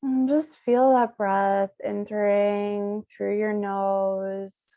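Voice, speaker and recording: A woman leading a guided meditation as a Reiki practitioner, speaking soothingly in short, unhurried phrases with pauses. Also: mid-range voice, little pitch movement, no room sound, narrow, tinny audio.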